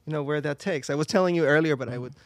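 A man's voice: on the high side, very fast, no room sound. A man from Israel, a native Hebrew speaker, chatting casually as an interview guest, rambling and searching for words. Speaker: interview guest, singer and performer. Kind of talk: casual chat.

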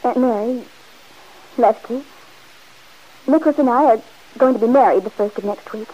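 A woman's voice: on the high side, normal speed, close to an everyday voice. An actress playing a headstrong young woman about to marry, insistent and defensive, in a 1940s radio drama. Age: young adult. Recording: dull old radio recording.

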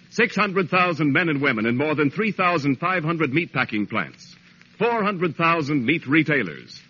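Male radio announcer, 1940s style, animated, emphatic scripted narration, husky edge, polished. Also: a little high. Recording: dull old radio broadcast recording.

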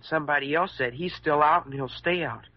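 A man's voice: a little high, brisk, no echo, close to an everyday voice. Man playing an earnest American schoolboy, muffled old radio. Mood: hesitant. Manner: hesitant, folksy slangy boyish speech. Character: frightened, defensive injured schoolboy, earnest and pleading. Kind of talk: old-time radio drama acting. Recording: muffled vintage radio recording.

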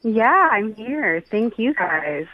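A middle-aged woman speaking reflectively and personally, haltingly searching for her words over a call. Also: on the high side, very animated. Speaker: guest giving feedback on an astrology reading. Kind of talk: personal, reflective casual talk. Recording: phone-like call with some echo.

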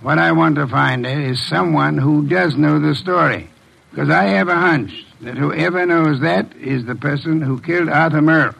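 An American actor playing a shrewd, gruff detective boss in classic radio drama style, deliberate and imperious, with a gravelly voice. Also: male voice, a little high, fairly bright tone, rough recording. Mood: brusque, calculating.

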